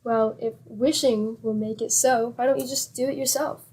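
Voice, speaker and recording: A woman's voice: a little high, steady tone, normal speed, a little mellow, slightly rough. A woman acting a patient, sensible wife in old-time radio comedy, with dry, affectionately teasing sarcasm. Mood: pleading.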